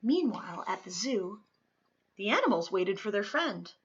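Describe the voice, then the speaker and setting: A woman reading a children's storybook aloud expressively, with dramatic, drawn-out emphasis on words. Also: medium pitch, very animated, husky, dull audio. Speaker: storybook reader.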